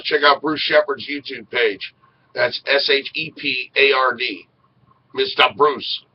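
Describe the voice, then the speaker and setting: A man's voice: on the high side, far from the mic, dull audio. A middle-aged man hosting an informal web show in an enthusiastic, chummy way. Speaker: radio show host. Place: small indoor room with posters. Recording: noticeably noisy home webcam audio.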